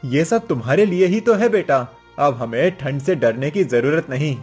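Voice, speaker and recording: A man's voice: on the high side, expressive, moderate pace, a little mellow, slightly rough. A man playing a poor, determined village father in a cartoon story, speaking in an earnest, declaiming way. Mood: proud, tender. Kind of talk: cartoon story character acting. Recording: music behind the voice.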